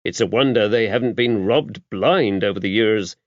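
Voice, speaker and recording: A fastidious male investigator voiced by an audiobook narrator, wry and incredulous. He talks with exclamatory emphasis, as if baffled by his surroundings. The recording is dry and a little dull. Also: lowish voice, no echo, lightly acted. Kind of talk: audiobook character dialogue.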